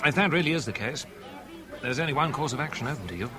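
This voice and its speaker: An actor playing a shrewd, amused British fixer in a 1970s radio drama, jovial and emphatic in delivery. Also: male voice, medium pitch, expressive, fast talker, music in the background, natural voice. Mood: confident, amused. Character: shrewd, amused British man with media contacts.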